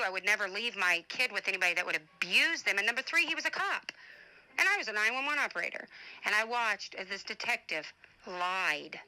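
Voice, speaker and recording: A woman's voice: mid-range voice, bright, forceful tone, low-quality recording. A middle-aged woman speaking in an informal voice-message monologue, blunt, indignant and defensive, swearing freely. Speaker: advocate and online broadcaster.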